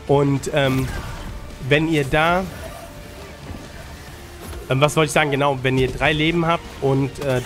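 A man with a slight cold giving casual gameplay tips in a colloquial way, with English gaming slang and frequent tag questions. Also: mid-range voice. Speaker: gaming guide creator and streamer. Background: music under the commentary.

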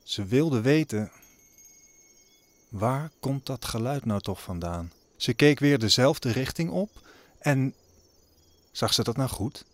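A man's voice: medium pitch, expressive, slow, long pauses, no room sound. A man narrating a scripted audio drama as a storyteller. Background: music under the voice.

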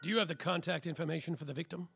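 Creaky-voiced hard-boiled private eye. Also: male voice, a little high, fairly even intonation, very fast. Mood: insistent. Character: world-weary, self-important male noir private detective. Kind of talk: noir radio-play narration, slapstick. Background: music.

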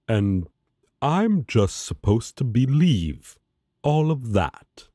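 A man's voice: medium pitch, sing-song, drawn-out words, mellow, dry recording, studio quality, full character voice. A man playing an earnest, righteous, devoted guardian angel in an audio roleplay, speaking formally and solemnly. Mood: suspicious, stern.

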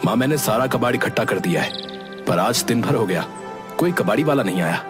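A man's voice: mid-range voice, animated, brisk, close to an everyday voice. A man playing an eager young village man with business dreams in a cartoon story. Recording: music behind the voice.

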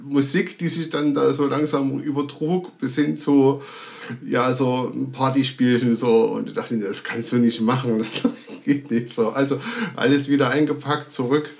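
A man in his thirties with a medium-pitched voice. He talks casually, as in a remote interview, and feels his way through sentences haltingly as he thinks aloud. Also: fairly even intonation, gravelly. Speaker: sound recordist and film editor. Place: indoor room, video call. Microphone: video call.

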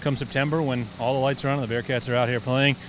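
Brisk male reporter at a stadium site. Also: mid-range voice, steady tone, a little hiss or hum. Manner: brisk, upbeat reporter delivery. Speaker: host. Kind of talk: scripted sports-network interview. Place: outdoors in an unfinished stadium under construction. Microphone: handheld microphone.

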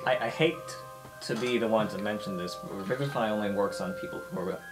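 A man's voice: on the low side, fairly quick, over music, rough recording. A man talking casually as a player in a tabletop roleplaying game.

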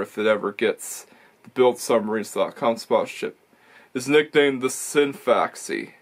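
Rambling young man vlogging at home. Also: mid-range voice. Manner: rambling, self-correcting, explanatory. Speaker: racing video reviewer. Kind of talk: casual vlog commentary. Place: small indoor room full of toy shelves. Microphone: camera or webcam in front of him.

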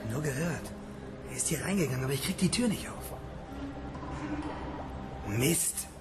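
A man's voice: on the high side, expressive, moderate pace, loud music behind the voice, close to an everyday voice. An actor playing a bold, curious young man turned amateur investigator, speaking colloquially and urgently in a radio drama. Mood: urgent, excited. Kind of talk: German radio drama acting.

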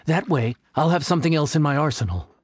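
An actor playing a friendly, humble young inn chef in a video game, folksy and easily flustered. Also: male voice, on the high side, animated, fast talker, breathy edge, no echo, clean studio sound. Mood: confiding. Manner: affable, folksy, slightly nervous. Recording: game music behind the voice.

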